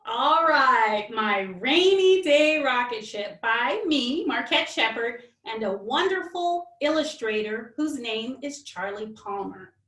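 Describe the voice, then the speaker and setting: A woman author speaking expressively and deliberately, as in a storybook read-aloud for children. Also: a little high, lively intonation, a bit bright. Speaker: children's author, guest reader. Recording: roomy, distant microphone.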